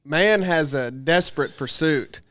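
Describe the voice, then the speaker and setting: A man's voice: a little high, unhurried, fairly bright tone, dry recording. An American man in a casual religious podcast discussion, talking in an emphatic, colloquial way as a host. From United States.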